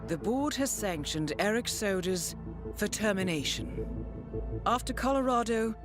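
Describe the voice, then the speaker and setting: An actress playing a composed, authoritative female mission handler in a video game, speaking in a crisp, formal, measured way. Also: mid-range voice, expressive, over music, natural voice. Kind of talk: scripted game dialogue and mission briefing.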